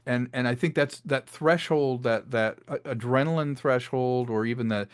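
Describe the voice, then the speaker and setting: A man's voice: mid-range voice, moderate pace, clean tone. A man speaking casually as an interviewed creator, explaining his craft and acting out imagined remarks as he goes. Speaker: interviewed filmmaker and audio drama creator.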